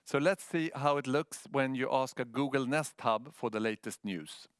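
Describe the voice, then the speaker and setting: Swedish man presenting, clear and dry-sounding. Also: mid-range voice, normal speed, a bit bright, dry recording. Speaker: news editor presenting a project. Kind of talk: prepared presentation.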